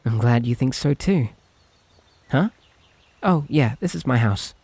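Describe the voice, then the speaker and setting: A man's voice: medium pitch, animated, measured pace, darkish tone, slightly rough, no room sound. A British man playing a shy, lovestruck and possessive young kidnapper in an intimate ASMR roleplay, speaking earnestly and hesitantly to the listener. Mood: earnest, reassuring. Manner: hesitant, earnest, politely sweet, rambling.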